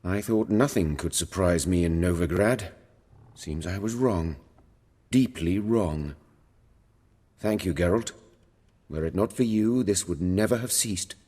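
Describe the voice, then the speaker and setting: An actor playing a stern, proud professor of surgery in a video game, speaking in a measured, formal, dry way. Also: male voice, sits low, warm tone, long reverb tail. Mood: sober gratitude.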